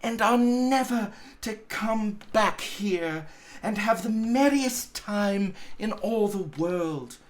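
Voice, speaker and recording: A man voicing a loving, excited little girl in a storybook reading, gleeful and eager. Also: high-pitched, slow pace, voice pushed up. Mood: brimful of glee.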